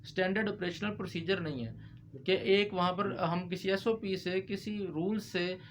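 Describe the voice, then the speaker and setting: A man's voice: high-pitched, normal speed. A man explaining legal procedure as a lawyer in discussion, mixing in English legal terms. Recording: clear background noise.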